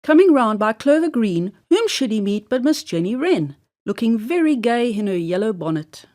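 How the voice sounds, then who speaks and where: A woman narrating a children's story aloud in an expressive, deliberate storybook style. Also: a little high.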